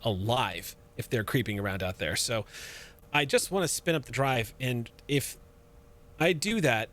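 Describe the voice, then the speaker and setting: Man, mid-range voice, halting in-character player; nervous pilot, lots of restarts. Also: mid-range voice, lively intonation, a bit bright. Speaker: tabletop player voicing a pilot. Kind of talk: in-character roleplay, casual.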